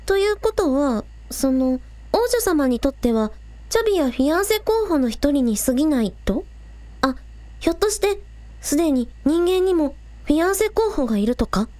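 A woman's voice: high-pitched, very animated, moderate pace, a little mellow, no room sound. A woman playing an earnest, anxious teenage village idol girl in an audio drama. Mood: bright, curious. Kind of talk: Japanese audio drama acting.

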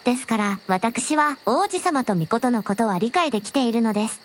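A woman playing a gentle, very polite dragon princess with a softly teasing manner in a scripted audio drama. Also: a little high, lively intonation, a little fast, a little hiss or hum, natural voice. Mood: sweet, composed. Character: gentle polite dragon princess, a shrine maiden's alternate self.

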